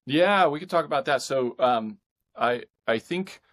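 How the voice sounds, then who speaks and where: A man speaking as a guest author in a conversational interview, haltingly, thinking his answers through as he goes. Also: medium pitch, animated, a bit bright.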